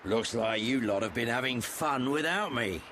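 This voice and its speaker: A gruff old trader. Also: male voice, mid-range voice, expressive, moderate pace, a bit bright, slight background noise. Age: older. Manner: gruff, teasing, colloquial. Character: gruff older male trader and fighter. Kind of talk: video game cutscene acting.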